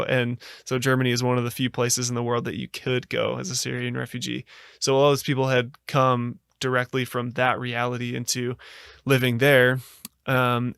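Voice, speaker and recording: Earnest American man, smooth flat voice. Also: medium pitch, no room sound, studio quality. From United States. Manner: earnest, halting, many fillers and restarts. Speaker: podcast guest. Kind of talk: reflective storytelling.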